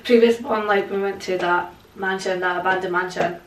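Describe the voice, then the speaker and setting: A woman's voice: medium pitch, fairly even intonation, moderate pace, noisy background. A young woman, answering interview questions hesitantly with plenty of fillers from a living room sofa. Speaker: guest, paranormal investigator. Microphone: far camera. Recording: echoey room from a distance.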